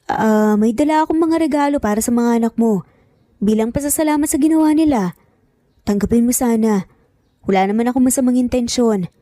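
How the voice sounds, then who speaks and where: A woman's voice: on the high side, brisk, warm tone, no room sound, clean studio sound, close to an everyday voice. A woman voicing a persistent, earnest man in an audio drama, pleading with a Filipino accent. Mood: earnest, probing. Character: persistent, earnest man, the mother's former lover.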